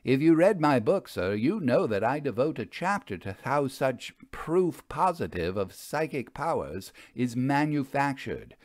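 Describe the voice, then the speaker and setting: A man voicing a brisk, skeptical woman investigator in a storybook, with blunt, formal and dryly knowing speech. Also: mid-range voice, big pitch swings, no room sound, voice pushed up. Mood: exasperated, knowing. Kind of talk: dramatized audiobook storytelling.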